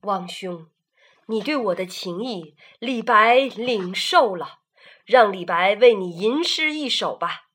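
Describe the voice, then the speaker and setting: A woman voicing a jovial, carefree male ancient poet in a children's radio drama, hearty and declamatory, reciting slowly. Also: medium pitch, expressive, a bit bright, slightly rough, exaggerated voice. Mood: solemn.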